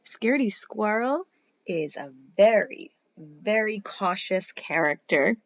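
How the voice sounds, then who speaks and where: A woman reading a children's picture book aloud as a teacher would, in a dramatic, playful way with emphatic pauses. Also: mid-range voice, expressive, no room sound, highs cut off. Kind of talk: expressive children's storybook read-aloud.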